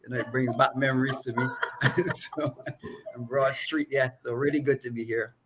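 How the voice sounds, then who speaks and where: A man in his forties with a Barbadian accent, a counselor answering interview questions in a reflective, halting way. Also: mid-range voice, fairly even intonation, noisy background. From Saint Vincent and the Grenadines. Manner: reflective, halting with repeated restarts. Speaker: counselor and guest.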